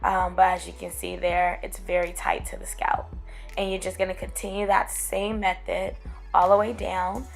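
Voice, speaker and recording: A young woman, raspy from getting over a cold, chatting casually to her viewers as she explains braiding her own hair step by step, recorded close to a camera at home. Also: fairly low pitch, little pitch movement, normal speed, soft background music. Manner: chatty, addresses viewers as guys and girl. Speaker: hair tutorial vlogger. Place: small room at home, indoors.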